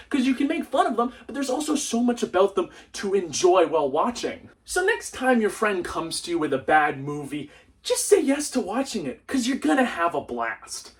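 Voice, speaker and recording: A young man with a high-pitched voice hosts a comedic movie review, picked up a little way from the mic. He is animated and sarcastic, breaking into exclamations as he goes. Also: recorded from a distance. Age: young adult. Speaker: video host, movie reviewer.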